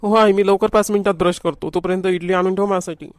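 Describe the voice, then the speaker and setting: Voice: medium pitch, fairly even intonation, fast talker, no room sound, clean studio sound, natural voice. A performer voicing a food-loving, whiny young schoolboy in a homemade comedy cartoon, with a Varhadi Marathi accent. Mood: eager. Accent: Varhadi Marathi from Vidarbha.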